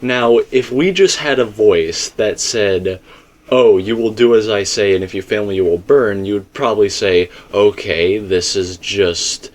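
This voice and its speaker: A man with a fairly low voice hosts a relaxed discussion show. He talks informally to his viewers and explains his points step by step, as if chatting through an idea with a guest. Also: measured pace. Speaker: host of a fan theory discussion.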